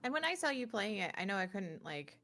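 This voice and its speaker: A woman with a medium-pitched voice speaks as a podcast co-host. Her delivery is casual, conversational review talk, loosely phrased and unscripted. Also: very animated, fairly quick, fairly bright tone. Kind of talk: casual gaming chat and review.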